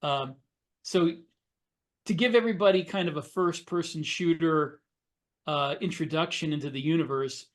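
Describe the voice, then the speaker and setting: A man's voice: fairly high pitch, normal speed. A middle-aged man, a podcast co-host, explaining things in a casual conversational way.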